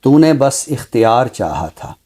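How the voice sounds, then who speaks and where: A Pakistani man speaking formally and deliberately as a literary commentator, with emphatic, repeated phrasing. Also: mid-range voice, sing-song, mellow. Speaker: literary commentator on a talk show. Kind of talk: measured, formal literary discussion and poetry recital.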